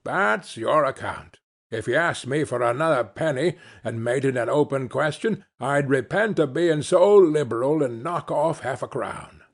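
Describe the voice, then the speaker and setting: Man playing a gruff old haggling dealer, slow, gravelly, lively pitch. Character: old male pawn dealer, shrewd and haggling.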